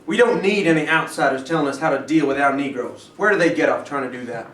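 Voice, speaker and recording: A man's voice: a little high, fast talker, fairly bright tone, natural voice. A man playing a belligerent, bigoted political adviser in a staged play reading, blunt and insistent. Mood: indignant. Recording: echoey, noisy stage recording from far off.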